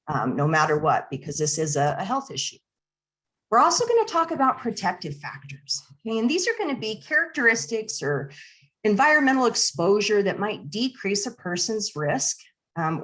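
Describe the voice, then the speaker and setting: A woman presenting a webinar over a video call, speaking in a friendly, conversational way with fillers and restarts, her voice slightly hoarse and clearing her throat. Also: mid-range voice, big pitch swings, moderate pace, a bit bright. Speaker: nonprofit area director presenting a webinar. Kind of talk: online presentation to attendees.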